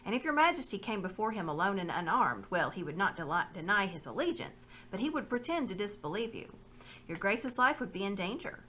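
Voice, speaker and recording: A woman's voice: mid-range voice, big pitch swings, a little fast, pushed and bright, slight background noise. A woman reading the part of a loyal middle-aged nobleman, speaking earnestly and courteously.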